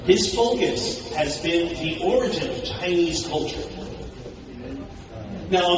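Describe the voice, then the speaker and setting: A man giving an enthusiastic, conversational conference speech, often posing questions to the audience. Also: on the high side. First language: English. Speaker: conference speaker. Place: large hall. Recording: echoey hall recorded from a distance, audible noise.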